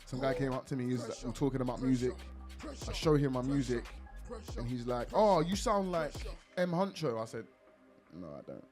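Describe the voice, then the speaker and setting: Deep-voiced man, laid-back. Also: fairly quick, soft background music. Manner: relaxed, rambling, lots of fillers. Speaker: rapper guest. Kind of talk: casual interview chat.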